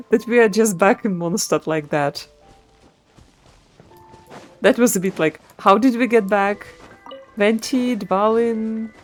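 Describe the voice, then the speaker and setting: A woman streaming a story game, giving casual live reactions as she plays. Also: mid-range voice. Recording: game music behind the voice.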